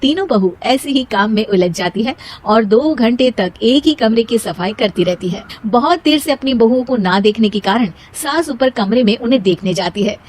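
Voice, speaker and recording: Female narrator for children's moral tales, high-pitched voice, scripted storytelling delivery. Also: a little mellow, breathy edge.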